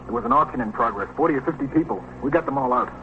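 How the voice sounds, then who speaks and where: Male police sergeant played by an actor, vintage radio crime drama: rapid, respectful, clipped reports, thin phone-like sound. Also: mid-range voice, animated, lightly acted. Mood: dutiful. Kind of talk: 1950s radio drama acting. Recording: tinny, noisy vintage radio recording.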